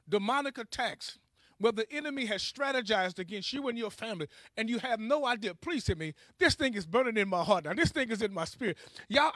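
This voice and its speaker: A man's voice: extremely high pitch, fairly bright tone, dry recording. A man preaching a charismatic sermon in a fervent, emphatic way, exhorting his listeners and repeating key phrases.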